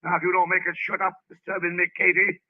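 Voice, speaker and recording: Talkative police sergeant, old radio sound. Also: male voice, sits high, completely flat delivery, quick pace, narrow, tinny audio. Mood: indignant. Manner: folksy, garrulous, colloquial phrasing. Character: genial, talkative, nosy Irish-American police sergeant neighbor turned stubborn. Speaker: radio actor. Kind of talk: 1930s radio drama acting. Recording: band-limited vintage 1930s radio recording.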